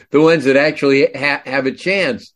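A man's voice: mid-range voice, fairly bright tone. A man hosting a podcast, chatting casually and telling a story with incredulous asides. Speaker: podcast host. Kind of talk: casual interview chat.

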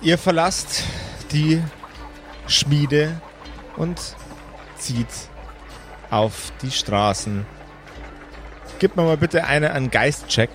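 A man's voice: fairly high pitch, drawn-out words, long pauses, a bit bright, soft background music. A man narrating and chatting as game master of an improvised tabletop roleplay game.

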